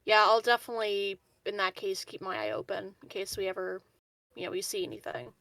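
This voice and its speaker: A woman talks informally as a player at a roleplaying game. Her voice sits at an average pitch and is recorded very dry, with no room sound. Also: lively intonation, brisk, gravelly, sharp tone, no room sound, poor audio. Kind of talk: casual game-table chat.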